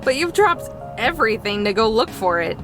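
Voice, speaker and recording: High-voiced, guarded young woman. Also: very animated, moderate pace. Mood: skeptical. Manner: skeptical, down-to-earth. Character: guarded, practical young woman, reluctantly kind. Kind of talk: visual novel game voice acting. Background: loud music.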